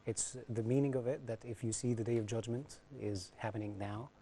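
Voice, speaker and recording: A man, a panel guest speaking softly and hesitantly in English. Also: mid-range voice, fairly even intonation, brisk, a little hiss or hum. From Egypt. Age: 30s.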